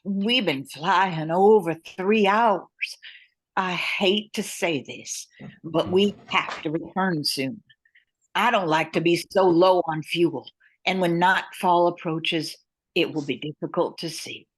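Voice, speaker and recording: Woman acting as female pilot, slightly low pitch, steady, worried, radio drama. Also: slow pace, poor audio. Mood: concerned. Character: steady, worried woman bush pilot running small airline.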